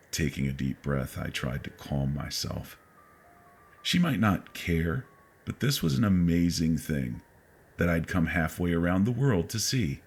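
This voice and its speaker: Very deep-voiced man narrating horror. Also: breathy edge. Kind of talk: scripted first-person horror narration. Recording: faint background noise.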